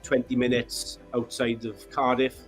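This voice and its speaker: A man's voice: mid-range voice, flat delivery, unhurried, soft background music. A man from Wales with a Welsh accent, a band member talking earnestly as a guest in an interview. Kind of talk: casual interview chat.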